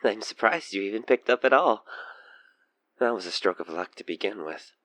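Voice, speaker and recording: A man playing an obsessed, manipulative killer who coaxes the listener in an intimate audio roleplay, with halting, breathy delivery that slips into whispers. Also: lowish voice, animated, a bit bright, no echo. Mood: smug, taunting. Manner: hesitant, halting, breathy sighs and whispers sliding into menace. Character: obsessed male killer, coaxing and manipulative, feigning frightened innocence.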